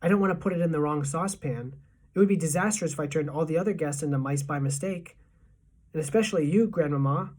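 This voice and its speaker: A woman's voice: sits low, fast talker, darkish tone, distant mic. A woman voicing a clever young boy turned mouse, speaking earnestly and carefully in a storybook.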